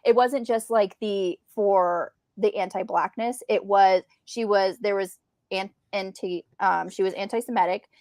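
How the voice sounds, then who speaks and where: A woman's voice: mid-range voice, fairly even intonation, measured pace, a little mellow, dry recording. Woman, close dry sound. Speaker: co-host of a book discussion. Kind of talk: casual live discussion of classic books.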